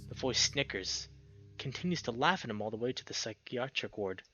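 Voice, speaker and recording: A man reading a visual novel aloud as a playthrough host, expressive, with casual reactions of his own. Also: mid-range voice, brisk, no room sound, highs cut off. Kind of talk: reading game text aloud with spontaneous reactions. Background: music.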